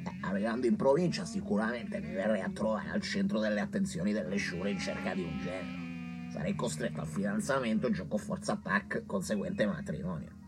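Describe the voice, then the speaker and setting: An actor playing a cynical, self-satisfied young doctor with a Milanese accent, wheedling and slangy in a radio comedy. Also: male voice, on the low side, fairly quick, over music, close to an everyday voice. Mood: dramatic pleading. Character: pleading, cynical, foppish young northern doctor. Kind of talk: theatrical radio comedy acting.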